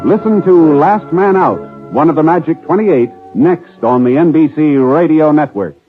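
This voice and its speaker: Formal vintage radio announcer. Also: male voice, fairly high pitch, moderate pace. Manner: crisp, formal announcer delivery. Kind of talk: 1950s network announcement. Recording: tinny old radio broadcast with music.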